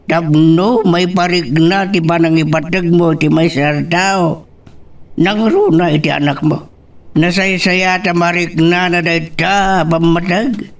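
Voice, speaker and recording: Elderly father played by a man, radio romance drama: reproachful, weighty, slow delivery, recorded at a distance. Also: fairly high pitch, steady tone. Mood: pleading, earnest. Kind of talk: Ilocano radio love drama acting.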